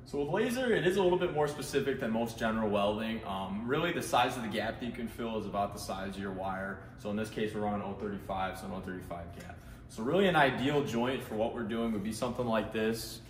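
Low-voiced man demonstrating welding. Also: fast, a little hiss or hum. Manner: asks leading questions, then explains step by step. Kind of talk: casual shop demonstration. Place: indoor welding workshop.

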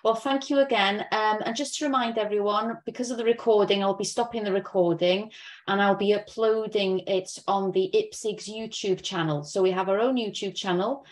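A woman's voice: mid-range voice, steady tone, moderate pace. Young woman wrapping up a zoom session, chatty, laptop mic. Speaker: event host. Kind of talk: closing remarks on a video call.

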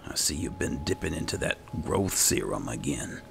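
A man's voice: extremely low pitch, lively intonation, moderate pace, in a whisper. A man giving casual roleplay commentary over a video game, musing to himself in a laid-back way. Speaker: gaming video host. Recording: clear game ambience noise behind voice. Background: video game ambience.

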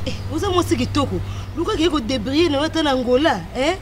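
A woman's voice: high voice, lively intonation, fast talker, noisy background. An actress playing an outspoken, scheming young woman advising her friend in filmed theatre dialogue, with a Congolese accent. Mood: persuasive, scheming. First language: Lingala. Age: young adult. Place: outdoors in a walled garden.

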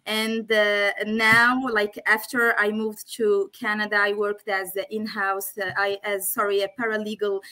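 A woman in her thirties from Morocco, a guest on a video call, speaking as a with hesitant pauses and restarts. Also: medium pitch, flat delivery, pushed and bright, rough recording. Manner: hesitant, many fillers and restarts. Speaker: guest, legal operations specialist. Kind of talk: casual video-call interview. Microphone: video call, laptop camera.

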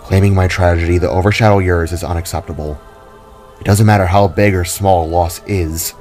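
A man voicing a large, solemn, grieving fantasy warrior who speaks softly and gravely. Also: deep voice, steady tone, a little fast, soft background music, natural voice. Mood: solemn, compassionate. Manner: soft-spoken, grave.

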